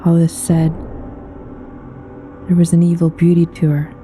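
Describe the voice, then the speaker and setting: A woman reading a scripted horror story aloud as narrator, in a solemn, measured storytelling manner. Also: fairly low pitch, monotone, mellow, slight background noise.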